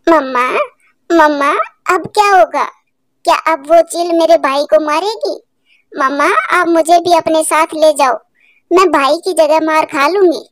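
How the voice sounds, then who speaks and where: A woman's voice: sits high, measured pace, no echo, studio quality. A woman voicing a small, earnest, tearful bird child who pleads, in a cartoon. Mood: frightened.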